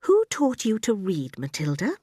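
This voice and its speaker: Woman acting a storybook schoolmistress, female, gentle, encouraging, prim, lifted lilting voice. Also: mid-range voice, wildly swooping pitch, measured pace, husky, dry recording, clean studio sound, pitched above the performer's own voice, exaggerated voice. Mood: pleased, kindly. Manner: kindly, prim, attentive.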